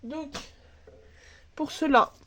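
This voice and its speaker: A woman's voice: high voice, very slow, pushed and bright, a little hiss or hum. A woman with a stuffy nose from a cold, hosting a casual crafts tutorial from her home table and thinking aloud as she works. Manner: chatty, thinks aloud while working, filler words. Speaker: crafts channel host. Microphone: camera filming from above.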